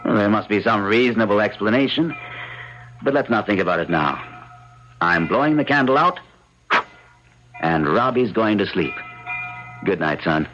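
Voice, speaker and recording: Animated, reassuring radio-drama husband. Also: male voice, fairly low pitch, normal speed, pauses often. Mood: skeptical, firm. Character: rational, dismissive husband and father, breezily reassuring. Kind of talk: old-time radio drama acting. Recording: dull lo-fi radio recording, faint music.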